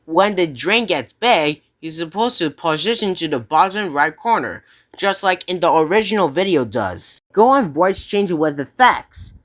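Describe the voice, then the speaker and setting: A woman narrating a step-by-step software tutorial. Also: fairly low pitch, animated, normal speed.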